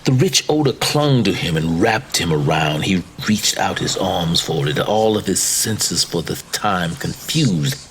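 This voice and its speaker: A man with a low voice narrates a classic macabre story. His delivery is theatrical and deliberately phrased, in the manner of dramatic literary reading, over faint background noise. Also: expressive, a bit bright, low-quality recording. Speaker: story reader.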